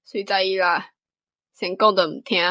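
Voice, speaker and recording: A young country lad from a radio play, played by a woman, with a Taiwanese accent. The voice is bright and sharp, plain and rustic, recorded close and dry. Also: mid-range voice, fairly even intonation, moderate pace, no room sound, close to an everyday voice. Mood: resigned. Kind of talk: radio drama acting.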